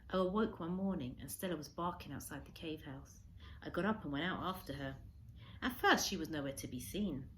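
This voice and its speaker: A woman reading a storybook aloud as a teacher would, expressively, with measured dramatic emphasis. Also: sits low, slight background noise.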